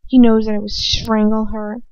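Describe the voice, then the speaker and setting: A woman's voice: on the high side, moderate pace. Woman reading fan fiction, slightly dull recording. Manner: expressive, informal. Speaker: amateur audiobook reader. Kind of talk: dramatic fan fiction narration with casual asides.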